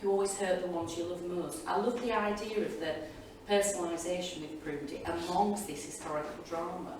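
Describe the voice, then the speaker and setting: A woman with a fairly low voice speaks reflectively as a panellist, measured and appreciative. She is picked up from afar in a heavily echoing room with noticeable noise. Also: steady tone, darkish tone. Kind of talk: informal, reflective spoken tribute. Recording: distant, very echoey, noisy room pickup.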